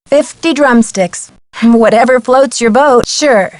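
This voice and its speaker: A woman's voice: on the high side, takes it slowly, no room sound. A woman playing a polite, wry young female amateur detective in a video game, agreeable with dry sarcasm. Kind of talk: video game character dialogue.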